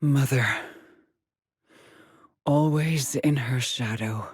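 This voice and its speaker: A woman's voice: very deep voice, very slow, darkish tone, breathy edge, no room sound, studio quality, full character voice. An actress voicing a haunted, determined female astronaut scout in a video game, with terse, introspective, formal phrasing. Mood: haunted, mournful. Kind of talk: dramatic video game monologue and log entries.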